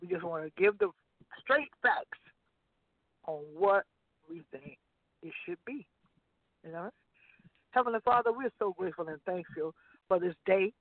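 A woman's voice: mid-range voice, measured pace, pauses often, no echo. A woman co-hosting a gospel radio talk show, bantering with sassy teasing and then leading a prayer. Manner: sassy, animated, playful teasing. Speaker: co-host and evangelist. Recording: dry tinny phone-line audio.

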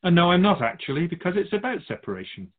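A man's voice: high voice, sing-song, fast, narrow, tinny audio. A man playing an otherworldly keeper of souls in a radio drama, a wise, teasing mentor who muses theatrically in rhetorical questions. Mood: musing. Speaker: spirit guide in a radio drama.

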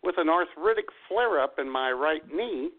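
A man's voice: a little high, expressive, normal speed. A man co-hosting a casual comic radio show, telling stories in a folksy, exasperated way. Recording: thin phone-like radio audio.

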